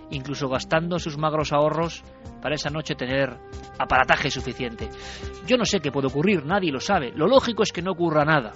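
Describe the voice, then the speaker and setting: A man's voice: a little high, a bit bright, muffled recording. A man with a Spanish accent hosting a radio show, speaking in a passionate, rhetorical monologue. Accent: Spanish from Spain. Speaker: radio host. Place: radio studio.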